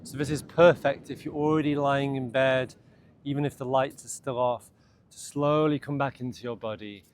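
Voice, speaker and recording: A man leads a guided morning meditation and self-massage in a gentle, unhurried, soothing way, speaking in short phrases. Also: mid-range voice. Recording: clear steady background ambient noise.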